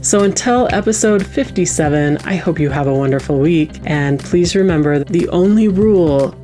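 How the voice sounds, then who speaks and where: Low-pitched, mellow woman hosting over music. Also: animated, moderate pace. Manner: effusive, encouraging. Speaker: podcast host. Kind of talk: warm, appreciative interview chat and sign-off.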